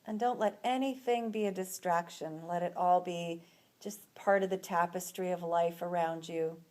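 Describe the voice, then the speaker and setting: A woman leading a guided relaxation as an instructor, speaking in a soothing, unhurried manner with long pauses between phrases. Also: on the low side, fairly even intonation, darkish tone.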